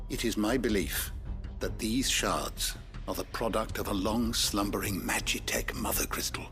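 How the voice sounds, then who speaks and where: An actor playing a learned old scholar in a video game, speaking in a formal, deliberate, erudite manner. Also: male voice, fairly low pitch, fairly bright tone. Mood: grave, scholarly. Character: learned old male scholar, measured and fond of lore. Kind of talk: dramatic video game acting, expository.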